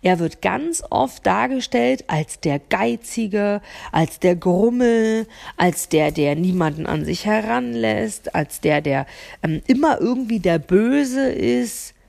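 A woman's voice: medium pitch, animated, slow pace, vocal fry, darkish tone. A woman talking unscripted to the listener as a solo podcast host, often searching for words and repeating them for emphasis.

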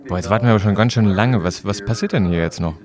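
A man's voice: lowish voice, fast talker, crowd noise. A man co-hosting a semi-scripted audio play in a casual, colloquial way. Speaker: podcast co-host and exhibition presenter.

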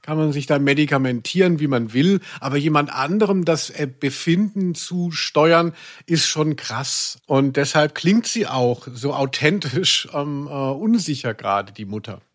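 A man's voice: on the high side, lively intonation, measured pace. A man co-hosting a casual podcast discussion in a reflective way, searching for his words. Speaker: podcast co-host.

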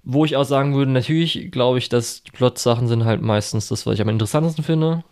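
A man's voice: mid-range voice, quick pace, a little mellow. A man co-hosting a chatty podcast, rambling with many fillers and restarts. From Germany. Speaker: podcast co-host. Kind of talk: casual chat.

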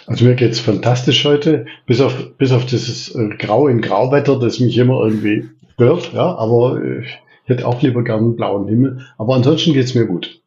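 Gravelly Swabian man in his sixties. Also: medium pitch, normal speed, highs cut off. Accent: Swabian German. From Reutlingen, southern Germany. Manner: relaxed, with frequent hesitations and restarts. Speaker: interview guest, interim executive. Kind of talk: conversational interview answers.

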